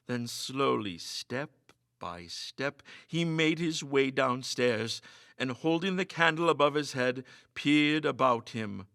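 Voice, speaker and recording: A man's voice: mid-range voice, bright, forceful tone, no room sound, clean studio sound. A man reading a ghost story as dramatic, suspenseful scripted narration, in a theatrical manner. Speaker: story reader.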